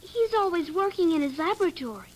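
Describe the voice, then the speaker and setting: A woman's voice: extremely high pitch, lively intonation, fast. An actress playing a chatty, inquisitive, earnest little girl in an old radio drama. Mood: eager, curious. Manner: artless, earnest, proudly reciting grown-up words. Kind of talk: 1950s radio drama acting. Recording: noisy vintage radio drama recording.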